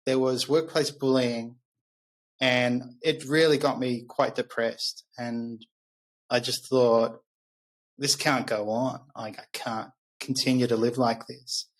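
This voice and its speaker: A man's voice: medium pitch, steady tone, takes it slowly. A middle-aged man with an Australian accent telling personal stories conversationally as a podcast guest, candid and reflective.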